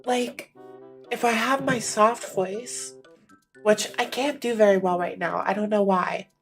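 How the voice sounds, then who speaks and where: Slightly raspy young streamer, ranting. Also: mid-range voice, measured pace, soft background music. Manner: animated, ranting, playfully insulting. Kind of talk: casual rambling chat while streaming.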